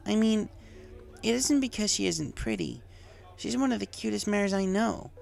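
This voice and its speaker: A man voicing a brash, emotionally torn young female cartoon pony with a strained high voice in a storybook reading. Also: sing-song, moderate pace, vocal fry, darkish tone, soft background music, heavily acted. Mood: earnest, torn.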